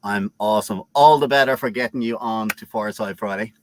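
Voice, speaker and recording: Guy hosting a live chat, fairly low voice, upbeat, lots of uh and repeats. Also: fairly quick, a little hiss or hum. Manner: upbeat, repeats words, many fillers. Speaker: host. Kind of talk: casual live interview.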